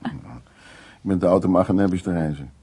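Older war survivor, low husky voice, dark tone, halting plain-spoken storytelling, brooding, radio play. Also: male voice. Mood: calm, explaining. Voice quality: gravelly, husky. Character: brooding old Dutch war survivor telling his memories. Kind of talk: radio drama acting.

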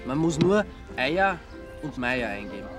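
A man's voice: mid-range voice, sing-song, measured pace. Casual Austrian man over music. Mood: curious. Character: inquisitive, sceptical man asking about a murder case. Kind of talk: German radio crime drama dialogue. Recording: music behind the voice.

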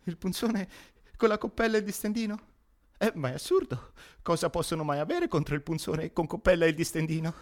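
A man's voice: a little high, brisk, natural voice. A man playing a bossy, proud factory owner in a comic radio play, theatrical and exclamatory, repeating things in disbelief. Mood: aghast. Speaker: actor.

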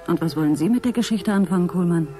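An actress playing a sober, doubtful woman who thinks through a mystery in a measured way, in a radio drama. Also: lowish voice, over music, natural voice. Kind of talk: German radio drama acting.

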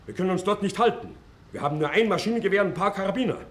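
A man's voice: high voice, expressive, very fast, a bit bright. An actor playing a panicky gangster henchman, reporting urgently to the boss in a vintage German radio play. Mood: panicky, defeatist. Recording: noisy, echoey old radio recording.

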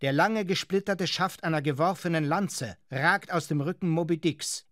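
A man's voice: fairly high pitch, moderate pace, a bit bright, dry recording, studio quality. A man reading scripted present-tense narration in a radio drama, as its narrator.

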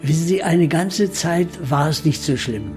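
A man's voice: a little high, animated, a little slow, over music. An actor playing a lonely, courteous man in his eighties, speaking reflectively in an improvised radio drama. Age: 80s.

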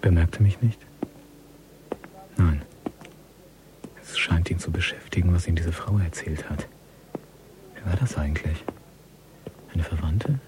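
An actor playing a sinister, mocking stalker who mutters breathily to himself in a radio drama. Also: male voice, low voice, unhurried, pauses often, noisy background. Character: sinister male stalker, mocking, watching his quarry. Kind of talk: radio drama inner monologue.

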